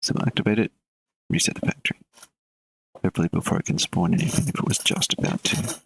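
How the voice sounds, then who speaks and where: Male game dev, live stream; deep, husky, rambling thinking-aloud delivery. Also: fast talker, low-quality recording. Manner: relaxed, mutters while working, chats with viewers between code.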